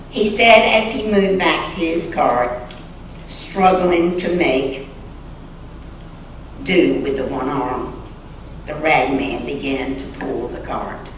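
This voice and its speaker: Slow-reading woman in an echoey hall. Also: low voice. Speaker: church member reading a short story aloud. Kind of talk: dramatic storytelling reading. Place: large indoor church sanctuary. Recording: echoey church sanctuary, distant mic, faint noise.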